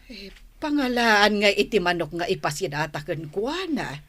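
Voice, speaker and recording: A woman's voice: mid-range voice, very animated, normal speed, a bit bright, dry recording. A woman acting as a concerned, nagging wife and mother in a radio comedy drama, with an Ilocano accent and a scolding, exclamatory delivery.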